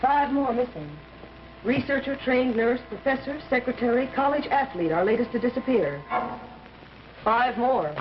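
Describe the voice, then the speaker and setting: An actress with an American accent playing a detective's devoted, teasing wife in old-time radio drama style, wry and coaxing. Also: female voice, on the high side, moderate pace, close to an everyday voice. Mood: reassuring. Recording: dull, noisy old radio recording.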